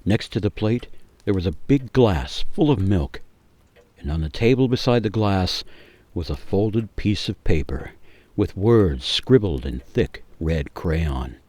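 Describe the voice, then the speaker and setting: Deep-voiced man narrating a radio story. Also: expressive, a little slow, darkish tone, dry recording.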